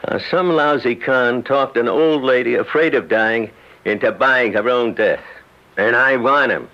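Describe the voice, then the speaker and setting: A man's voice: mid-range voice, unhurried, natural voice. Actor as stern authoritative man, vintage radio. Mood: indignant, determined. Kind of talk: old-time radio drama acting. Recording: dull old radio recording, music behind.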